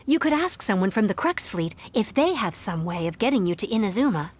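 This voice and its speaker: A woman voicing a calm, well-informed advisor in a video game, speaking in a measured, explanatory way. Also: medium pitch.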